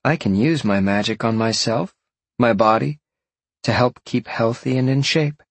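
A man's voice: medium pitch, unhurried, husky, dry recording, pitched above the performer's own voice. A man voicing a playful, teasing young sorceress who talks in a breezy, confiding way for an audiobook. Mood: casual, proud.

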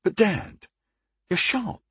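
A man voicing a young adult wizard son in an audiobook, earnest and questioning, quick to be startled or indignant. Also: mid-range voice, wildly swooping pitch, fairly bright tone, husky, dry recording, exaggerated voice. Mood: concerned. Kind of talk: audiobook character dialogue.

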